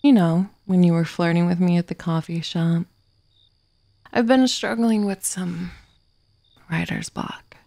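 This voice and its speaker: A woman playing an obsessive, vengeful murderous writer in an ASMR roleplay, sardonic and menacing, slipping into breathy whispers. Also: fairly low pitch, steady tone, measured pace, pauses often, dark tone, no room sound. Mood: mocking, then wistful, intense. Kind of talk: ASMR roleplay, dramatic monologue.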